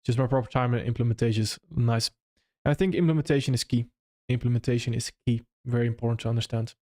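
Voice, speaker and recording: Young non-native English-speaking man, live-stream host answering chat, loose and rambling, mid-pitched voice. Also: fairly even intonation, fast, darkish tone. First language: not English. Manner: loose and conversational, frequent restarts and "if that makes sense".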